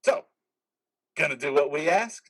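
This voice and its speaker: A sneering, needling phantom voice played by a man haunts a veteran in a stage drama. It is forceful and husky, pitched a little high, and full of menace. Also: expressive, measured pace, exaggerated voice. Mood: sneering, goading. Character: taunting imagined male voice in a veteran's head, menacing. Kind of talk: play reading acting. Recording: remote reader's theater call.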